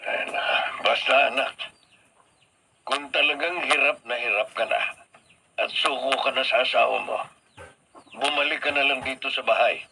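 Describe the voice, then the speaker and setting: An elderly Filipino father in a radio drama, stern yet protective of his family. His voice is mid-pitched, sharp and penetrating. The recording carries slight noise. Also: fairly even intonation, normal speed, vocal fry, pauses often. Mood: regretful, protective. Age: older. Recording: noisy radio drama broadcast.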